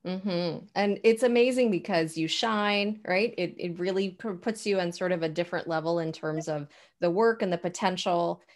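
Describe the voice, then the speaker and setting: Low-voiced woman chatting on a video call. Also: normal speed, hardly any pauses. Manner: affirming, leans on "you know" fillers. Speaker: co-host of an online coaching talk. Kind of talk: casual conversational chat.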